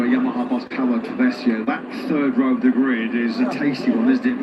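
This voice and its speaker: Man commentating live over loud trackside noise. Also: on the high side, muffled recording. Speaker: lead race commentator. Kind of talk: live motorsport broadcast commentary.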